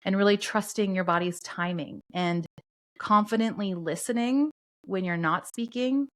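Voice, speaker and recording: A woman co-hosting a podcast, calmly explaining astrology and human design concepts in a steady teaching tone. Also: lowish voice. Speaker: podcast co-host.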